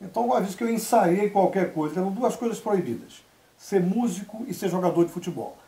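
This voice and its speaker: A man's voice: high-pitched, echoey room. A man from Salvador, Bahia, telling stories about his life as a guest on a TV interview show. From Brazil (Salvador, Bahia). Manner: storytelling, measured, quotes others in lively asides. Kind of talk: relaxed TV interview talk. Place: TV studio.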